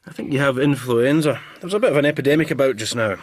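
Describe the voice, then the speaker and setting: An actor playing a brisk, blunt Scottish country doctor in a naturalistic radio drama, plain-spoken with hesitant starts. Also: male voice, medium pitch, no room sound, close to an everyday voice. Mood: brisk, professional.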